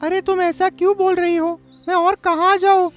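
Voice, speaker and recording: Woman as young male frog, cartoon, very high voice, earnest, polite, music behind. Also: level tone, normal speed. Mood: pleading. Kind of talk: Hindi children's animated story acting.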